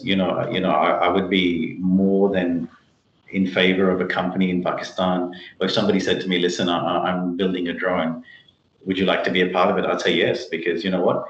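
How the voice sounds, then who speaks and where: A man answering interview questions on a video call, explaining things emphatically in a conversational way. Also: low-pitched. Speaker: interview guest, tech company chief executive. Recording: muffled, roomy video call audio.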